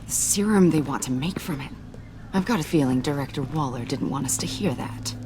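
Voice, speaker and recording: An actress playing an earnest, conflicted government agent in a video game, speaking in a measured, candid and slightly formal way. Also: female voice, sits low, lively intonation, background noise. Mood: thoughtful, guarded. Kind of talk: naturalistic video game dialogue.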